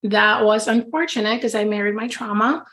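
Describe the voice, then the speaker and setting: A woman from the Dominican Republic, a life coach and podcast guest, talking casually and warmly in a familiar, friendly manner. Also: medium pitch, normal speed. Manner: friendly, familiar, says "girl" often. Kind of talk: casual interview chat.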